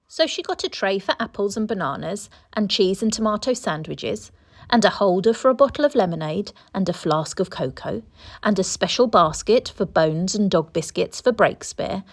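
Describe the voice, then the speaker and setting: A woman reading a children's story aloud in an expressive storytelling style. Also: medium pitch. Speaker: storybook reader.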